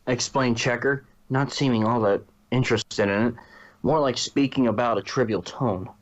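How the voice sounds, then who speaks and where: A man's voice: mid-range voice, normal speed, highs cut off. A man narrating a fan fiction story as a reader.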